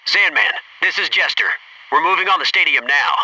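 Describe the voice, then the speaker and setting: Guy doing a gung-ho navy seal squadmate from a shooter game, clipped military callouts, slightly high voice, lots of noise behind. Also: animated, normal speed, close to an everyday voice. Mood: brisk, businesslike. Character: gung-ho, wisecracking Navy SEAL fireteam soldier. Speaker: game voice actor. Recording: noisy radio-style game audio, slightly distant.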